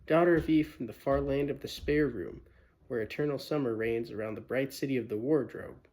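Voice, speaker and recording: A man's voice: mid-range voice, fairly quick, a little mellow, natural voice. A man voicing a polite, flustered male fawn in a storybook reading, repeating himself in his courteous, wistful way. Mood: coaxing.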